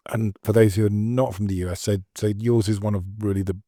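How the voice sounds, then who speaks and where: A man co-hosting a medical podcast, quickly and eagerly asking the guests questions. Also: on the low side, fairly even intonation, mellow, no echo, studio quality. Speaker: podcast co-host. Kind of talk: interview questions.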